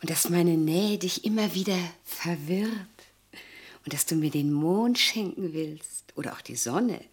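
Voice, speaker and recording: A vain, husky, coquettish ageing lady. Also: fairly low pitch, a little slow. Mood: dreamy, reproachful. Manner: theatrical, wistful, coaxing. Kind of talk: German radio play acting.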